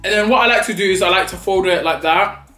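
A man's voice: high-pitched, quick pace, pushed and bright, echoey room, soft background music. A young man, chatting dramatically and playfully in a food vlog while eating at a table. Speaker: vlogger. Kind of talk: mukbang vlog chat. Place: small indoor studio set at a table.

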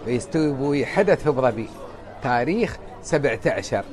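A man in his forties with an Emirati Arabic accent, chatting casually into a phone on an earphone mic in a hotel room, animated and emphatic. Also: mid-range voice, brisk, over music, crowd noise. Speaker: social media vlogger. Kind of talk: casual selfie vlog chat. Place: indoors by a window, hotel room. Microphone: wired earphone mic, phone at arm's length.

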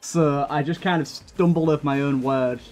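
A man's voice: a little high, fairly even intonation, normal speed, over a noisy crowd. A man hosting a gaming video with casual, sweary, grumbling commentary.

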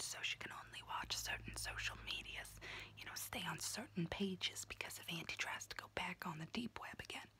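A woman's voice: mid-range voice, close to an everyday voice. Woman whispering as a rambling paranoid auntie. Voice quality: whispered throughout. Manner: rambling, repeats herself, pet names. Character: unhinged conspiracy-minded auntie doing nails. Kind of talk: ASMR roleplay whispering.

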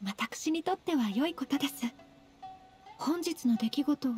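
A woman voicing a polite young noblewoman in an anime game, gentle, dignified, formal and measured. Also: sits high, expressive, faint music behind. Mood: modest, sincere. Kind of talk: anime game voice acting in Japanese.

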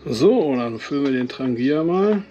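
Man, Westphalian accent, outdoor cooking vlogger, mid-pitched voice, chatty and explanatory, music and frying sounds behind. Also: unhurried, lo-fi sound. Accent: Westphalian German. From Westphalia, Germany. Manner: relaxed, explanatory, with fillers and small self-corrections. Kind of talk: casual outdoor cooking demonstration. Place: outdoors at a camp stove. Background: music and cooking sizzle.

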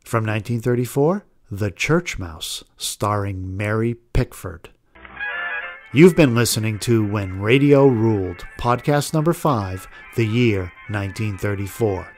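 A man with a slightly low voice narrates as a podcast host. He reads scripted credits at a slow pace, with faint music behind him. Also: lively intonation, darkish tone.

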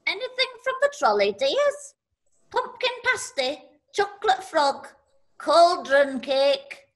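High, slow singsong trolley witch. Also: big pitch swings, exaggerated voice. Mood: inviting. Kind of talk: stage drama table read.

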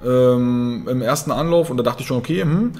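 A man's voice: mid-range voice, mellow. A man in his thirties co-hosting a casual market chat, thinking aloud with lots of fillers. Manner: quick, chatty, thinking aloud with many fillers. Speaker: co-host of a crypto trading show. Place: indoor room, seated at a table.

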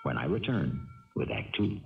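A man hosting a 1970s radio mystery show, narrating a script in a measured, theatrical way. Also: sits low, sing-song, gravelly. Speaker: host and storyteller. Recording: muffled old radio broadcast, distant, music behind.

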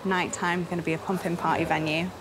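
A woman's voice: lowish voice, flat delivery, fast, background noise. Chatty young English woman outdoors. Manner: upbeat, chatty, laughs often. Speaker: railway events organiser. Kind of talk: casual on-location chat. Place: outdoor railway station platform.

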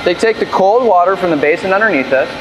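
A man working as a facilities technician, explaining equipment in a relaxed, plain-spoken way. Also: high voice, fast talker, noisy background.

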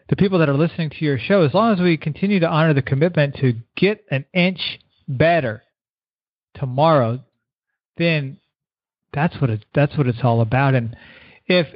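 A middle-aged man, a keynote speaker guest giving earnest motivational advice, repeating words and slowing down to stress his points. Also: on the high side, dry recording. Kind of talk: motivational interview answers. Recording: dull lo-fi radio sound.

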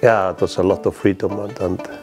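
Low-voiced Icelandic man, halting and plain-spoken. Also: little pitch movement. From East Fjords, Iceland. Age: middle-aged. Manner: halting, plain-spoken, simple non-native English. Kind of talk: documentary interview, reminiscing. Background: guitar music.

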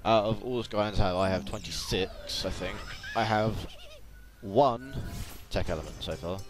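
A man's voice: lowish voice, normal speed. A man giving casual let's play commentary as the host of a gaming video. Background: video game music and sound.

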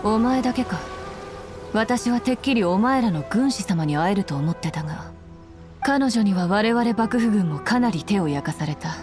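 A woman's voice: medium pitch, expressive, normal speed, over music, lightly acted. A woman playing a stern, commanding female general in an anime game, speaking with cool, formal authority. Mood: cold, menacing.

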